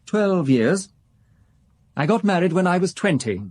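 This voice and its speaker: An actor playing a fastidious, genteel architect-decorator in his thirties in a radio drama, speaking precisely and with stiff politeness. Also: high-pitched, lively intonation, darkish tone, no room sound, clean studio sound. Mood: guarded, precise. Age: 30s.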